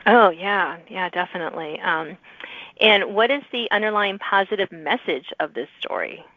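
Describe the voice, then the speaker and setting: A woman's voice: mid-range voice, expressive, moderate pace, no echo, muffled recording. A woman hosting a casual interview show, informal and eager in how she reacts to answers. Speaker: podcast host interviewing authors.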